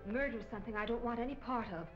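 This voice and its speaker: A tough, curt moll played by a woman who won't be pushed around, in an old crime picture. Her voice sits fairly high, with music behind it on a muffled 1940s soundtrack. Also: fast, lightly acted. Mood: defiant. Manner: curt, cool, unafraid. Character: hard-boiled, defiant gangster's moll wanting out. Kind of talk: 1940s crime film acting.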